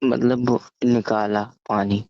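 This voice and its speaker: A man casually telling a story over the phone, halting and often stammering, repeating syllables and words. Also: fairly low pitch, little pitch movement. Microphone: phone call. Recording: muffled, echoey phone call recording.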